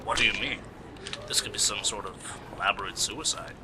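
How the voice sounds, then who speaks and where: A man's voice: on the low side, brisk, lightly acted. Matter-of-fact male police sidekick acted by a man, noisy recording. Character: male police detective, dutiful sidekick reporting to lead. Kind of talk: amateur radio play acting. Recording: noisy amateur home recording.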